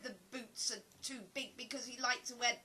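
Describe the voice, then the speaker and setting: A woman acting in an audio play as a sharp, suspicious woman who interrogates a stranger in an accusing, theatrical, easily indignant way. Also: fairly high pitch, fairly even intonation, a little fast. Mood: defensive, flustered.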